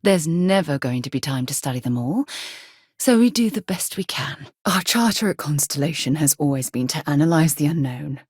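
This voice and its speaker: An actress playing a principled, earnest ex-military space explorer in a video game, articulate and expressive. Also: female voice, deep voice, normal speed, husky, dry recording, clean studio sound. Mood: measured, thoughtful. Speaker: companion character in a space game.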